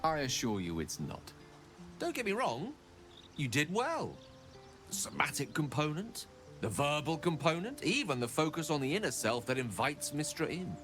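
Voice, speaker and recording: A man playing an earnest, bookish wizard in a video game, speaking in an eloquent, measured, theatrical way with remorse. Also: on the high side, sing-song, fairly bright tone, soft background music. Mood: earnest, wry. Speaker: game character. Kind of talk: dramatic video game dialogue.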